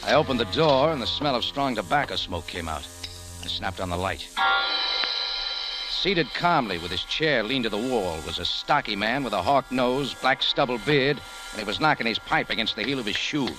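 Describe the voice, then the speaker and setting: An actor playing a hard-boiled American private investigator, clipped and insistent, in an old-time radio drama. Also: male voice, mid-range voice, expressive, normal speed, over music, close to an everyday voice, low-quality recording. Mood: narrating, tense. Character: tough American private investigator narrating his story. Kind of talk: old-time radio detective narration and acting. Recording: hissy old radio recording.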